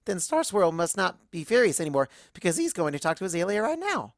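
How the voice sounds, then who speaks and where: A woman's voice: lowish voice, very animated, brisk, dry recording, studio quality. A woman voicing a bubbly, scatterbrained young cartoon pony who rambles earnestly like a child. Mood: chirpy, rambling. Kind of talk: first-person fan fiction narration in character.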